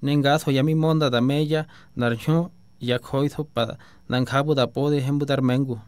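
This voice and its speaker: A man reading an opening message aloud in a measured, recited cadence. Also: medium pitch, fairly even intonation, darkish tone, smooth, no echo. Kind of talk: formal reading in Otomi.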